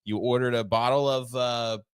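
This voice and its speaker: A man telling anecdotes in casual chat, halting and pausing as he goes, swearing freely. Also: medium pitch, steady tone, clear, resonant tone, no echo, studio quality. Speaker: podcast guest, former stand-up comic.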